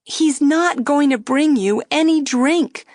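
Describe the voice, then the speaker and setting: A woman's voice: sits high, sing-song, slow, no echo, studio quality, voice pushed up, full character voice. A woman voicing an anxious young woman who urgently pleads with her drinking companion. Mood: pleading.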